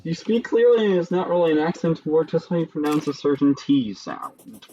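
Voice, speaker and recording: A young man from Chicago with a Chicago accent, chatting casually with viewers during a game stream and musing between pauses. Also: fairly high pitch, expressive, mellow, muffled recording, soft background music. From Chicago, Illinois. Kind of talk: casual live-stream chat while gaming.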